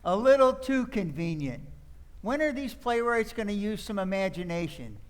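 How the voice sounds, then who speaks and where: A man's voice: high-pitched, very animated, moderate pace. A man playing a smug, wisecracking, exasperated theater critic, sardonic and theatrical, in an old-time radio play. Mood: scornful.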